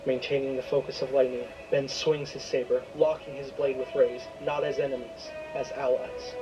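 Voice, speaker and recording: Male narrator, dramatic scripted storytelling, fairly even delivery, prominent music bed behind. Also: medium pitch, normal speed, dull audio.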